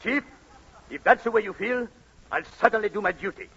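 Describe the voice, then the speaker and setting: An actor playing a suave, evasive newlywed husband who stammers out smooth excuses in 1940s radio comedy style. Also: male voice, high voice, lively intonation, fairly quick, bright, forceful tone, heavily acted. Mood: flustered. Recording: muffled vintage radio broadcast.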